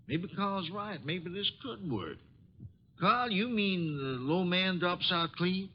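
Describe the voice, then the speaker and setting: An American actor playing an anxious, agreeable business partner in a 1940s radio drama. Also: male voice, high-pitched, expressive, slow pace. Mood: uneasy. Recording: muffled, hissy vintage radio recording.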